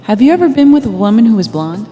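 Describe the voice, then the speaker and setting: A woman's voice: fairly high pitch, big pitch swings, fast, mellow. A woman reading a blunt, teasing girlfriend in a scripted audio story, casual and matter-of-fact. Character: Freya, blunt, teasing, observant girlfriend in passenger seat. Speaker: reader of the girlfriend's part in a novel reading. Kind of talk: scripted audio-story dialogue over music. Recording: long reverberation under music. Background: music.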